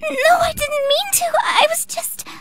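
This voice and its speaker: A very high-voiced timid schoolgirl. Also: measured pace, over loud music. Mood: flustered, apologetic. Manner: hesitant, stammering. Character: timid, apologetic, nervous young schoolgirl. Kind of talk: visual novel game acting.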